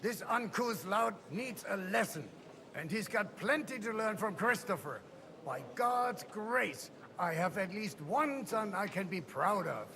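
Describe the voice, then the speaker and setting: Haughty old lord, high, forceful. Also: male voice, expressive, unhurried, pauses often, full character voice. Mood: scornful. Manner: haughty, curt. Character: stern, scornful proud old noble father.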